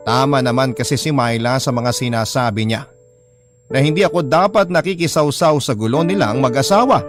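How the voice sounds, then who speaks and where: A sentimental, measured confessional storyteller, read by a man with a mid-range voice. It is remorseful radio drama narration over clear background music. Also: medium pitch, natural voice. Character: remorseful young teacher narrating a confessional love letter. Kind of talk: dramatic first-person scripted narration. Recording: music bed under voice.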